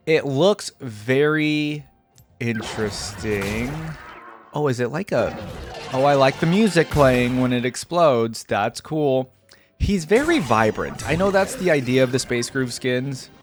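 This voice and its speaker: A man's voice: mid-range voice, lively intonation, takes it slowly, faint music behind. A man giving casual reaction commentary as a video creator, thinking aloud and hedging his opinions as he goes.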